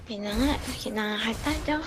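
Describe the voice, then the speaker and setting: A woman's voice: a little high, moderate pace, over loud music. A Filipino woman chatting casually while gaming, playful and exclamatory, slipping into English.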